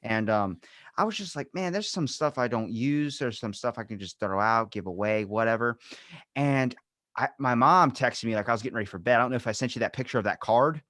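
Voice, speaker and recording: A man's voice: medium pitch, lively intonation, fairly quick, a bit bright, no echo, clean studio sound. A man hosting a podcast in casual chat, rambling from thought to thought and swearing freely. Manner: rambling, profane, uses quoted asides. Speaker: podcast host.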